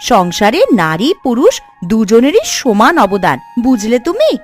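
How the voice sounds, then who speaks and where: A woman's voice: a little high, wildly swooping pitch, measured pace. A woman voicing a quarrelsome, outspoken village housewife in a folk-tale cartoon, scolding and argumentative. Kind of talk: animated cartoon voice acting. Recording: music under the voice.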